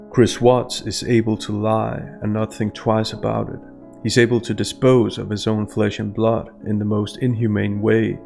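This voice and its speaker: Male narrator, low with vocal fry, formal and measured scripted analysis, music underneath. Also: darkish tone. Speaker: host analyst of statements. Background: instrumental music.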